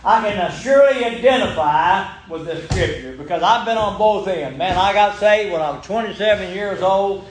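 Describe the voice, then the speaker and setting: A man's voice: high-pitched, normal speed, hardly any pauses, slight background noise. A man, preaching a folksy sermon and calling out for amens. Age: 70s. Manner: folksy, emphatic, calls for amens. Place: church sanctuary. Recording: very echoey church room.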